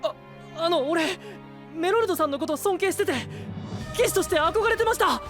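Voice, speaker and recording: Anime audio drama lead: sincere young newcomer knight, polite but excitable, boyishly high, faint music behind. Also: male voice, normal speed. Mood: shy admiration. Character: earnest, admiring young male knight, a newcomer.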